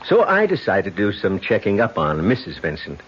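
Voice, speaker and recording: A husky, shrewd radio investigator. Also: male voice, on the low side, wildly swooping pitch, heavily acted. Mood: smugly explaining. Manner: measured, wry, triumphant. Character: shrewd, smug male insurance investigator. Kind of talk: 1970s radio drama acting. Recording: muffled old radio recording.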